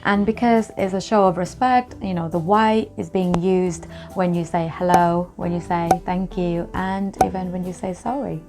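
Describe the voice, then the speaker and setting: A woman's voice: on the low side, steady tone, moderate pace, few pauses, over music. A young woman from Thailand, chatting casually to camera as a vlogger and explaining things with lots of examples.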